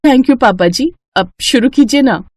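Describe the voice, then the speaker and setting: A woman's voice: sits high, animated, unhurried, a little mellow, slightly rough, no echo, voice pushed up, heavily acted. A woman as an eager, polite young bride. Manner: deferential, earnest. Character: young new bride, polite, eager-to-please daughter-in-law. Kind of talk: acted Hindi family storybook dialogue.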